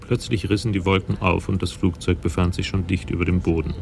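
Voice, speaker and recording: Deep, creaky-voiced male narrator. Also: level tone, fairly quick, darkish tone, slight background noise. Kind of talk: scripted narration of a radio crime drama.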